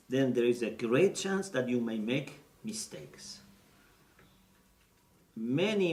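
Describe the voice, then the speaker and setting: A man giving a teaching lecture, explaining step by step and checking in with his listeners. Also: medium pitch. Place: lecture room. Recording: roomy echo, mic at a distance.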